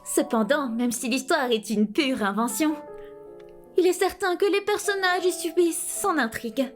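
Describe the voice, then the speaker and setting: A flamboyant young diva from a game , acted out by a woman. She declaims everything like a stage show in a high, grandiose voice, with clear music behind her. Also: normal speed, close to an everyday voice. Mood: grave, mysterious. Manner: theatrical, declamatory. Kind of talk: fan-dub game character acting.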